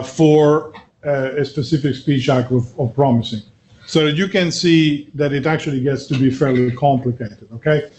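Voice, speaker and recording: A man's voice: medium pitch, a little mellow, a little hiss or hum. A man from Belgium who grew up in Italy, giving a university lecture in a conversational, hesitant way with frequent fillers. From Belgium, raised in Italy. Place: classroom.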